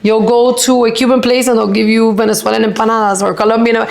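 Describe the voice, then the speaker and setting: A middle-aged woman with a Miami accent, chatting on a podcast as co-host, telling stories in quick animated bursts full of "like". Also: on the high side, vocal fry. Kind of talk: casual chat.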